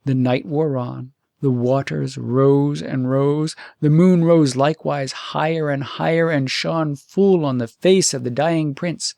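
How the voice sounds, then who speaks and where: A man's voice: fairly high pitch, steady tone, measured pace, vocal fry, hardly any pauses, dark tone, no room sound, clean studio sound. A man reading a fairytale aloud as an audiobook storyteller, in scripted narration.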